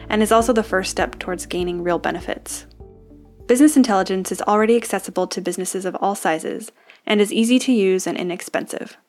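A woman hosting a podcast, reading a scripted introduction in a smooth, even manner. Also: medium pitch. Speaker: podcast host.

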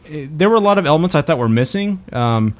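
A man's voice: fairly high pitch, lively intonation, fast talker. A man hosting a podcast, talking casually as he discusses films. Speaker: podcast host reviewing films.

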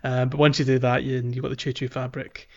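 A man's voice: medium pitch, little pitch movement, a little fast, creaky, a little mellow. A man co-hosting a casual gaming podcast, who talks in a chatty way with lots of ums and restarts. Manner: chatty, many ums and restarts, laughs easily. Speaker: podcast co-host. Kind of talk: casual game-talk podcast. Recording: dull lo-fi podcast audio.

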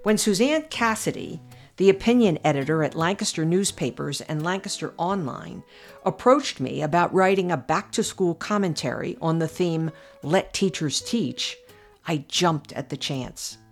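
An older American woman reading her own essay aloud as a podcast commentator, earnest and measured, pressing hard on her key points. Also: on the low side, expressive, creaky, soft background music.

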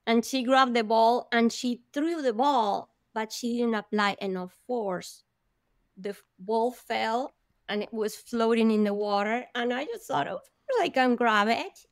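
A woman's voice: a little high, a little slow, no echo. A woman from Colombia, a guest vividly telling her own life story in an interview, with gasps and laughs along the way.

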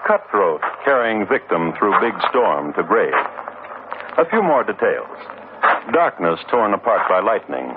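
Vintage true-crime radio storyteller, dry clipped delivery with big pitch swings, muffled sound. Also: male voice. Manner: deadpan, wry, clipped phrasing. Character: wry, deadpan male narrator of true-crime tales. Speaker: narrator. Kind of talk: 1950s radio crime narration, clipped fragments. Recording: muffled, very noisy 1950s radio recording.

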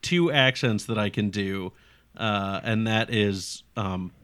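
A man's voice: fairly low pitch, slow, a bit bright, clear, resonant tone. A man running a tabletop game as game master, joking and easygoing, with frequent "uh" fillers.

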